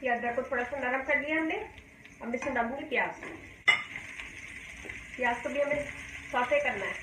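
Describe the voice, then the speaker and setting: A high-pitched woman from Pakistan walks viewers through a recipe in her home kitchen, explaining each step in a calm, homely way. The kitchen sounds echoey, and a faint hum runs underneath. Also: normal speed. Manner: calm, homely explaining, often saying "dekhiye ji". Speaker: cooking channel host. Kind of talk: step-by-step cooking demonstration.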